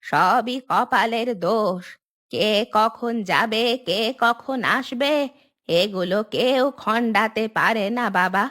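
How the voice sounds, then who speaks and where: A woman performs an older village aunt for a cartoon. She is bossy and fatalistic, with a slow, declamatory, lamenting delivery at a medium pitch. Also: a bit bright. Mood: resigned, firm. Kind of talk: Bengali cartoon dubbing.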